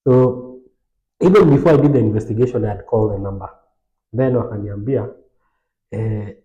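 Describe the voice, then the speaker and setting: A man's voice: lowish voice, dark tone, reverberant, highs cut off. A man on a podcast telling a personal story in a casual, anecdotal way, now and then dropping in Swahili phrases. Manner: anecdotal, light laughs, mixes in Swahili phrases. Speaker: podcast host.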